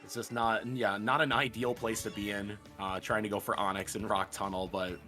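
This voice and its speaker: Bright-voiced, projecting male host. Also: fairly low pitch, moderate pace, soft background music. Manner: energetic broadcaster's projection. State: fighting off a sickness. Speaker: host and commentator of a speedrun event. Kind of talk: livestream event commentary. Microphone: own microphone at a desk.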